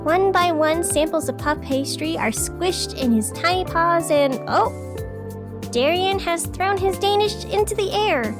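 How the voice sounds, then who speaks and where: A woman playing a whimsical community radio host who delivers absurd scripted town news in a playful, deadpan way. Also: very high voice, measured pace, over music, full character voice. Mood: wry, dramatic. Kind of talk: scripted fiction podcast, community radio announcements.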